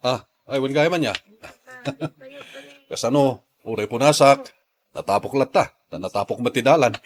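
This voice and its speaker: Male actor as patient Ilocano working man, radio drama; medium pitch, conversational, placating delivery. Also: lively intonation, a little slow. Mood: friendly. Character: easygoing, patient husband and jeepney driver.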